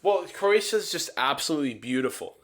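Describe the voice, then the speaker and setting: A man's voice: fairly high pitch, sing-song, a little fast. A man chatting casually on a podcast, wordy and prone to repeating himself for emphasis. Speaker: podcast participant.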